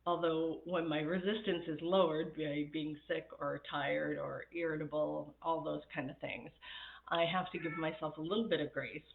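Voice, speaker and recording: A woman coaching on a live stream, chatty and laughing often, her voice raspy from a recent illness. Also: deep voice, fairly even intonation, normal speed. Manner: chatty, laughs often, reads viewer comments. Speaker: happiness coach. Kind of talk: casual live-stream talk. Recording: tinny phone-like sound in an echoey room.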